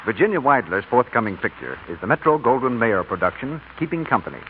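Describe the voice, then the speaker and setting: A tinny vintage radio recording with faint noise carries an American male announcer. His mid-pitched voice swings up and down in the polished, emphatic style of 1940s advertising. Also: sing-song, fast talker. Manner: polished, emphatic sales delivery.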